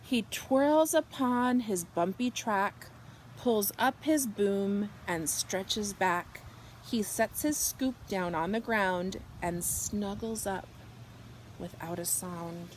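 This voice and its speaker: A woman reading a picture book aloud to young children, expressive and animated, drawing out her words and softening for bedtime lines. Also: medium pitch, a little hiss or hum, poor audio. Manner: slow, animated delivery with drawn-out words and hushed, whispered good nights. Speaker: children's storybook reader.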